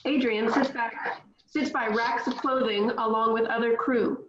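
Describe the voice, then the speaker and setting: A woman's voice: on the high side, steady tone, a little slow, a crowd in the background. A woman reading stage directions aloud at a script table read. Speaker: script reader. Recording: echoey room, dull lo-fi sound.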